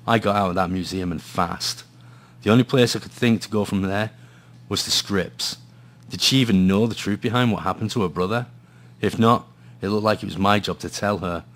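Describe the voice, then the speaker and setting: A man's voice: lowish voice, close to an everyday voice. An actor playing a gloomy hard-boiled male detective, narrating and talking in a noir radio play with brooding drama.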